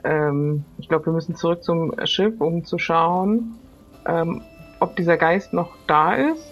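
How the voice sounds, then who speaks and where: Woman as low-voiced, practical pirate crew leader. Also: a little slow, dull audio. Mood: practical, uncertain. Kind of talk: improvised pirate adventure role-play.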